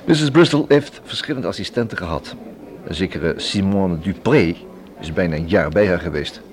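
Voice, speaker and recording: Businesslike low-voiced police inspector. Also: male voice, expressive. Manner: businesslike, deliberate, polite. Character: businesslike male police inspector, friendly and measured. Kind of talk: radio drama acting.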